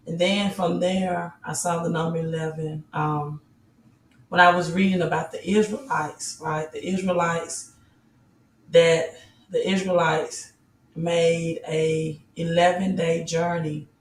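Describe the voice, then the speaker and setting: Hoarse, raspy woman livestreaming. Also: on the low side, a little hiss or hum. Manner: greets viewers, urges likes and subscribes. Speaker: crypto livestream host. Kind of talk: casual livestream chat. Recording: roomy, slightly distant mic.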